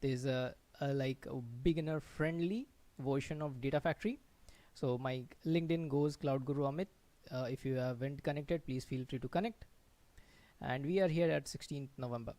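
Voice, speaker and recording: Man teaching a slow live tech lesson. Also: medium pitch, warm tone. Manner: explains slowly with many fillers and restarts, checks in with viewers. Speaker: livestream instructor. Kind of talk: live technical tutorial. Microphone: computer mic, quiet at first then turned up.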